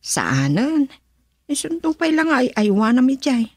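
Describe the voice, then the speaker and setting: A woman's voice: sits high, very animated, a little slow, breathy edge, no echo, clean studio sound, exaggerated voice. A woman acting a worried, devoted young wife in an Ilocano radio drama, with an Ilocano accent. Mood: gentle, slightly chiding.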